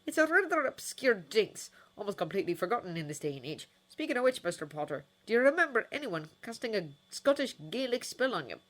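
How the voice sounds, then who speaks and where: A woman's voice: mid-range voice, sing-song, brisk, hardly any pauses, a bit bright, pitched above the performer's own voice. A woman reading as an elderly, formal wizard healer. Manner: formal and hesitant.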